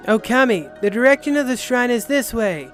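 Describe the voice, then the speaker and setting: A high, girlish young priestess, acted out by a male narrator, speaks in formal, wordy sentences. She is earnest, polite and stubborn, like a storybook heroine, with music clearly playing underneath. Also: lively intonation, normal speed, a bit bright. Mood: protesting. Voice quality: high-pitched put-on girlish voice.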